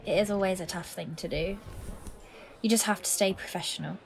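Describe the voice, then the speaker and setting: A woman playing a composed, dry-humoured police detective in a naturalistic audio drama, with a measured, professional manner. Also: medium pitch, fairly even intonation, creaky, slight background noise, close to an everyday voice. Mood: dry, amused. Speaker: actor in an audio play.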